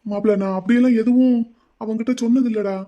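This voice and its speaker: A defensive brother-in-law, played by a man in an audio drama, caught in a family quarrel. He pleads in a high voice and talks fast. He keeps trying to explain himself. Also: steady tone, muffled recording, lightly acted.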